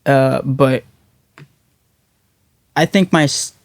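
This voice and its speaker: A young man from Norway, a musician guest, talking thoughtfully in a casual interview, often pausing and restarting his words. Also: medium pitch, fairly even intonation, gravelly, no room sound.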